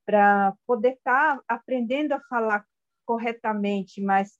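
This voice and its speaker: Soft-spoken woman, lo-fi. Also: a little high, slow pace. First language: Guarani-Mbyá. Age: 30s. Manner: searching for words, gentle and reflective. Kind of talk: personal testimony. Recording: dull lo-fi audio.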